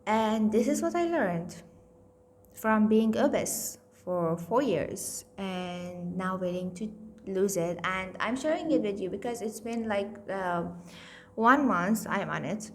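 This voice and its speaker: Blunt Indian-accented woman, slow talk. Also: medium pitch, a little hiss or hum. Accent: Indian-tinged English. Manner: candid, blunt, swears freely, self-mocking. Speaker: nutrition coach. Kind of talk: casual weight-loss vlog.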